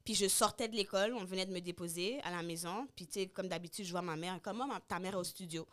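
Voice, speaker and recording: Young québécoise woman, lowish voice, tells her story with lots of quotes n english bits. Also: fairly even intonation, fast talker, a bit bright. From Canada, schooled in Haiti. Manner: storytelling with quoted dialogue and anglicisms. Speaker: guest. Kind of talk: casual podcast chat, telling a personal story.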